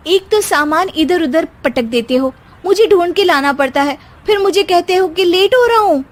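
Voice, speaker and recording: A woman's voice: very high voice, lively intonation, normal speed, voice pushed up. A woman storyteller voicing an overworked, aggrieved wife who answers back with exasperation in a domestic story. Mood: exasperated. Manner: sarcastic, aggrieved retorts.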